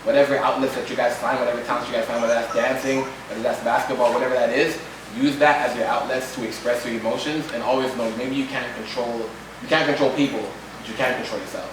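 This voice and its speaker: A man's voice: medium pitch, steady tone, fast talker. A young man moderating a live community event, talking casually and encouragingly to the crowd with some slang. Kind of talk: casual talk to a live audience. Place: event room with an audience. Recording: echoey room, distant mic, noisy.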